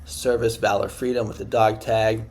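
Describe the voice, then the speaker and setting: Man, Dominican accent, low pitch, casual tutorial host, lots of ums, desk mic at home. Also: level tone, normal speed, darkish tone, a little hiss or hum. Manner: rambling, chatty, many ums and self-corrections. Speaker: YouTube host teaching print-on-demand design. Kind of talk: casual screen-share tutorial.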